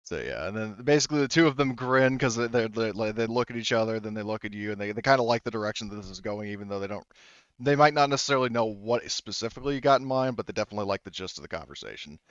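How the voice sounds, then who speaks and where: Male game master, deep-leaning and smooth, rambling, halting narration, close dry but slightly muffled sound. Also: lively intonation, no echo. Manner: rambling, halting, self-interrupting. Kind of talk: casual tabletop game narration.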